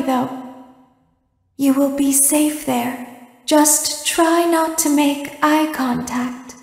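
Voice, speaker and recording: A woman voicing an eerie, childlike animatronic girl in a video game, wistful and sinister, speaking in a measured, detached way with deliberate pauses. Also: high voice, darkish tone. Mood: calm, cautioning. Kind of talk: video game character monologue. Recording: echoey hall with long reverb.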